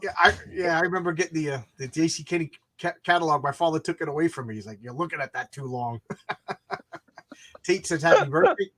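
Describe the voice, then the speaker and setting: A man's voice: a little high, fast talker, a bit bright. A man in his fifties hosting a casual live chat, rambling through anecdotes in a jokey, self-deprecating way. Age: 50s. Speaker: live stream host chatting about comics.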